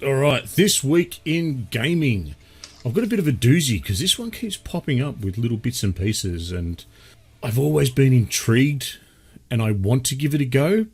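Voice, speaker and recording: An Australian man hosting a gaming podcast in a laid-back chat with his brother, still a little croaky from a cold. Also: medium pitch, darkish tone. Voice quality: husky. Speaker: podcast host. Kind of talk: casual chat.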